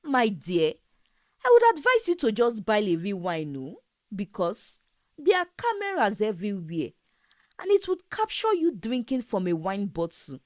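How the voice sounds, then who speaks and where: Storybook cousin: female narrator as a practical young woman, gently mocking, advising, lively intonation, dry sound. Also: mid-range voice, a little slow, no echo. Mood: teasing caution. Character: sensible, hardworking young woman, teasing, cautious cousin.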